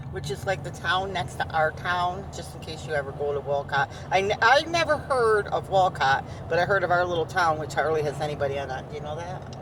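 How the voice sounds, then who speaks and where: A woman vlogger from Upstate New York, chatting casually while riding in a car, who says she has a strong, loud voice. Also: deep voice, recorded from a distance, noisy background. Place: inside a moving car.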